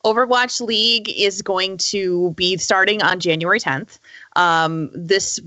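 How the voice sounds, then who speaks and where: A woman's voice: mid-range voice, fairly even intonation, measured pace, pushed and bright, no echo. A woman co-hosting a podcast, chatting casually about esports in an upbeat, enthusiastic way. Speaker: podcast co-host.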